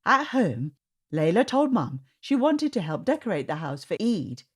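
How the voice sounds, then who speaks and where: Expressive woman reading to kids. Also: medium pitch, normal speed, breathy edge. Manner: expressive and sing-song. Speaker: storytime reader. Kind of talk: children's read-aloud narration.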